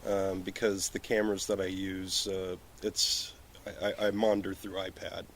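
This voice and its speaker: A man's voice: low-pitched, flat delivery, moderate pace, fairly bright tone, slight background noise. A man in casual conversation as a podcast guest talking about film work, slightly slurring his words, recorded outdoors on a porch. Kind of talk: casual chat.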